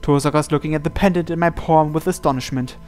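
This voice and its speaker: A man's voice: fairly high pitch, steady tone, fast talker. A man hosting a gaming video, reading visual novel narration aloud. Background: soft game music.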